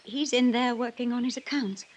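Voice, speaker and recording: An actress playing a prim, nervous, lovelorn farm wife in an old radio drama, speaking in a hesitant, fluttery way. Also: female voice, a little high, steady tone, normal speed, a little hiss or hum, close to an everyday voice. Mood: nervous, secretive. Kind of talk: 1950s radio drama acting.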